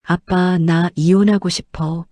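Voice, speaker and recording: A woman's voice: on the low side, steady tone, slow pace, warm tone, no room sound. A woman playing an adult daughter confiding plaintively to her father in an audio drama.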